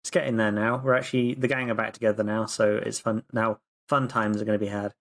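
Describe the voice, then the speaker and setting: A man's voice: on the low side, little pitch movement, fast. A man taking part in a tabletop roleplaying game, talking casually in and out of character.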